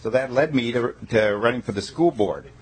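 A man's voice: mid-range voice, lively intonation, normal speed, rough recording. A male state legislator introducing himself at a committee meeting, folksy and emphatic with joking asides.

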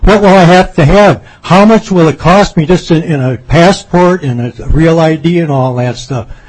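A man in his seventies giving rambling, halting public testimony at a committee hearing. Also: a little high, poor audio. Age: 70s. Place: legislative committee hearing room.

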